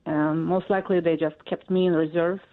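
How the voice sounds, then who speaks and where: A woman in her forties from Russia, speaking English with a Russian accent as an interview guest, halting and full of fillers. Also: on the low side, steady tone. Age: 40s. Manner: halting, many fillers and pauses. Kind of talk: interview answers. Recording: tinny phone line.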